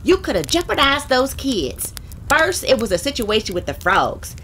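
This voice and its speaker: Sitcom casting: woman playing firm, exasperated female principal, high voice, emphatic scolding manner. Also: sing-song, normal speed, a bit bright, slight background noise, heavily acted. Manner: stern, admonishing, emphatic. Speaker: actress playing a school principal. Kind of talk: sitcom acting.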